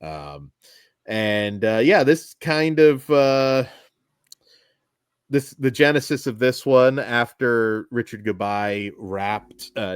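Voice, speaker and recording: A man co-hosting a casual film review show, joking and animated, his voice a bit worn from a long day of recording. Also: lowish voice, smooth, no echo, clean studio sound. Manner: animated, self-deprecating, joking. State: voice going tired after long recording. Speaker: podcast co-host.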